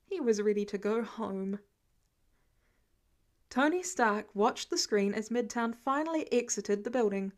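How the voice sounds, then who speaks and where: A woman with a fairly high voice narrates fiction from a script. She reads as an amateur audiobook narrator. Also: animated, unhurried, pauses often.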